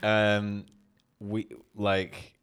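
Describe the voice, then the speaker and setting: Very deep-voiced man, rambling casual chat. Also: monotone, extremely slow, a bit bright, clean tone. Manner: rambling, self-correcting, laughs often. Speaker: podcast co-host. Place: London.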